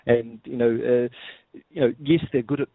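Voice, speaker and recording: A man with a New Zealand accent, a guest on a radio interview, explaining things in a measured way and often repeating words as he starts a sentence. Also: medium pitch, steady tone, creaky, husky, no echo. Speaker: guest, software firm executive. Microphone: phone. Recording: tinny phone line.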